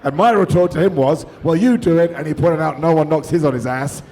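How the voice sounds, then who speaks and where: A British man hosting a live wrestling review show, jokey and loud, hyping the audience, and a bit hoarse from losing his voice. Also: a little high, fairly quick. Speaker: host. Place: large hotel event venue. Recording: in front of a noisy live crowd.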